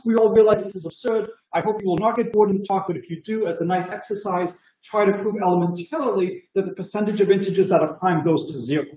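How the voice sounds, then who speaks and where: A man's voice: sits high, warm tone, echoey room, rough recording. A middle-aged man with a Boston accent giving an enthusiastic, conversational math conference talk over a video call, with many "you know" fillers. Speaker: mathematics lecturer.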